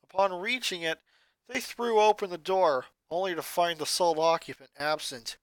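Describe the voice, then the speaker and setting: A fan reader narrating a story in an animated way. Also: mid-range voice, normal speed, no echo, low-quality recording. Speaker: fanfic reader.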